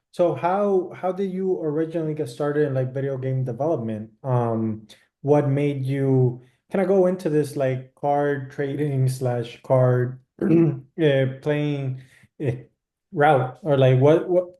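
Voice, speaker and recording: A man's voice: mid-range voice, unhurried, dark tone, echoey room. A man hosting a casual video interview, asking loose, upbeat questions full of fillers. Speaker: interview host.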